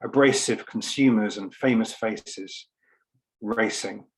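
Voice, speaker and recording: Slow, low-voiced man reading poetry. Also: low-quality recording. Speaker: poet reading at a book launch. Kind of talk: poetry reading with spoken introduction. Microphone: online video call.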